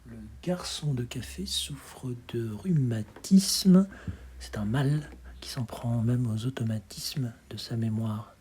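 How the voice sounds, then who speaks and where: A man with a breathy, husky voice reciting poetry expressively, in measured dramatic phrases that often sink to a hush. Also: mid-range voice. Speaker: poetry reader.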